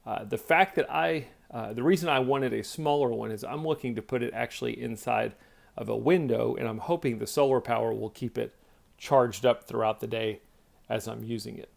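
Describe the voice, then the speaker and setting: A man's voice: medium pitch, moderate pace, creaky. A man giving a casual product demonstration, explaining things step by step in a plainspoken way. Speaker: product reviewer.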